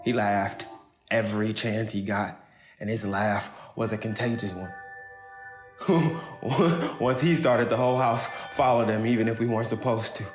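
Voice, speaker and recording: An actor playing a grieving, earnest younger brother in an audio drama monologue, speaking haltingly. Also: male voice, fairly low pitch, steady tone, lightly acted. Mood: fond, reflective. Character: grieving kid brother giving a heartfelt eulogy. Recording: roomy echo, music underneath.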